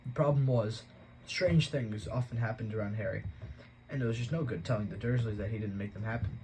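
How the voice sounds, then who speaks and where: A man reading an audiobook aloud at a steady pace, sometimes slipping and correcting himself. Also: lowish voice, brisk, a little hiss or hum, poor audio. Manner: steady reading, occasional slips and self-corrections. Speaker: audiobook reader. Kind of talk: amateur audiobook narration.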